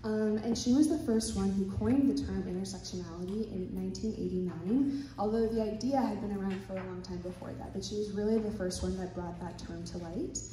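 A woman moderating a panel discussion in a conference room, speaking gently and stuttering at times. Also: medium pitch, brisk. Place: hotel conference room with audience. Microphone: handheld mic, recorded from far in the room. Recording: echoey conference hall from far off.

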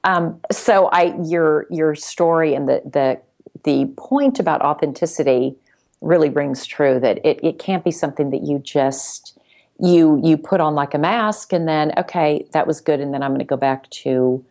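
A woman with a low-pitched voice talks casually as a guest on a podcast. She speaks haltingly, often doubling back on her words as she thinks. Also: gravelly, darkish tone. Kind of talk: casual conversation.

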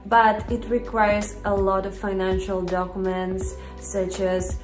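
A woman's voice: medium pitch, completely flat delivery, slow. A young woman from Russia with a Russian accent, chatting casually to camera as a vlogger in a bedroom. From Russia, Vladivostok. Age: young adult. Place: bedroom, indoors. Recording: roomy echo, music underneath. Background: background music.

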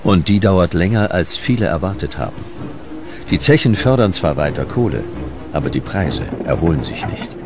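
A man reading scripted history narration as a documentary narrator, in measured, dramatic short sentences. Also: low-pitched, animated, music in the background.

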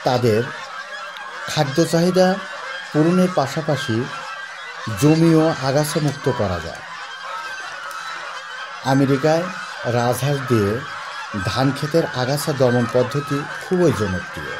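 A young man narrating and interviewing in a measured, informative way, speaking into a handheld mic outdoors at a goose farm. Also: medium pitch, animated, background noise. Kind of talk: read narration and field interview. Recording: outdoor voice with music bed.

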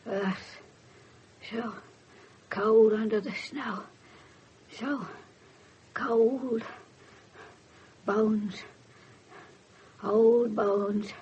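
A woman playing an eerie spirit medium in a trance, halting and chanting, in a radio play. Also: on the high side, fairly even intonation, a little mellow. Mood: entranced, eerie. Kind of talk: 1970s radio drama acting. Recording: muffled, noisy old radio recording.

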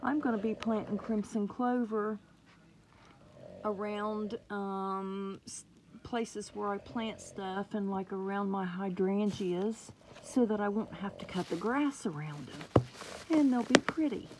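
Slow-talking woman gardening vlogger. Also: mid-range voice, slight background noise, poor audio. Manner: excitable, chatty, often drops to a murmur. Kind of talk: casual home gardening vlog. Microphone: phone camera held close.

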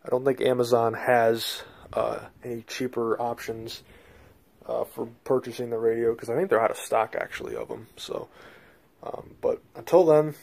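An American man with a slightly gravelly voice giving a casual, halting, rambling video tour indoors on a handheld phone. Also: mid-range voice, fairly even intonation, darkish tone. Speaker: host, hobbyist collector. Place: small bedroom, indoors. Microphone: handheld phone, speaker behind the camera.

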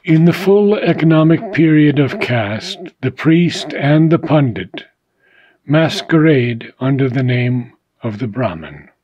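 Slow, husky man reading solemnly. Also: on the high side, animated, a little mellow, highs cut off. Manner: solemn, measured phrasing with frequent deliberate pauses. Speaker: reader of a philosophical book. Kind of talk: scripted audiobook narration of philosophy.

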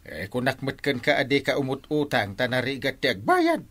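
A man playing a reluctant, grumbling village captain in an Ilocano radio comedy drama, with an Ilocano accent and husky voice. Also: mid-range voice, wildly swooping pitch, moderate pace, fairly bright tone. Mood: chiding.

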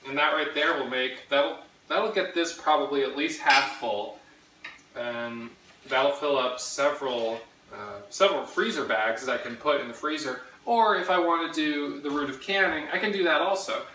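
A man's voice: on the high side, normal speed, distant mic, slight background noise. A man in his thirties in a casual cooking vlog, rambling with many fillers as he chops, recorded in his home kitchen by a camera across the room. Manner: rambling, relaxed, many fillers while chopping. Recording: echoey kitchen, voice from off-mic.

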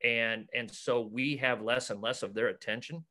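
Middle-aged American male coach, forceful bright tone, conversational, stop-and-start delivery with repeated words. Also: medium pitch, fairly even intonation, normal speed. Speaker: basketball coach and clinic organizer. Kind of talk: casual video-call interview. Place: cluttered room at home, on a video call. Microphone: video call.

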